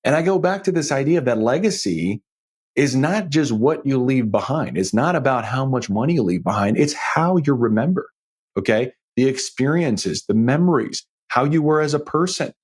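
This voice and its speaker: Animated, husky-voiced man giving podcast advice. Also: medium pitch, moderate pace. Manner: emphatic, folksy, checks in with rhetorical tags. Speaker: podcast host and financial planner. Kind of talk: conversational solo podcast advice.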